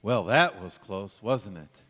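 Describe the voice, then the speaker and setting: A man's voice: lowish voice, expressive, takes it slowly, heavy background noise. A man acting a devoted young bridegroom, earnest and courtly, in an old-time radio romance on a stage. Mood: tender. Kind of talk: golden age radio drama acting. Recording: echoey church hall stage recording.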